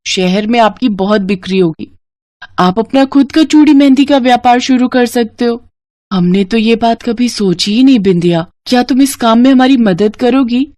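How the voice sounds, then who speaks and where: A woman's voice: on the high side, a little mellow, dry recording, natural voice. A woman voicing eager, sweet and respectful young village women in a moral story cartoon. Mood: bright excited. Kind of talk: animated moral story dialogue.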